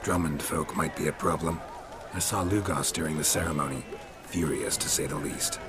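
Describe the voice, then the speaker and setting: An actor playing a gruff, terse monster hunter in a fantasy game, deadpan. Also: male voice, rumbling low, normal speed, noisy background. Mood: dry. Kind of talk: game cutscene acting.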